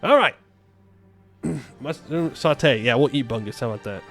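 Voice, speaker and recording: A man's voice: mid-range voice, expressive, moderate pace, fairly bright tone, faint music behind. A man chatting casually on a game livestream, reading and answering viewer comments in a playful, joking way and often repeating his phrases. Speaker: game streamer.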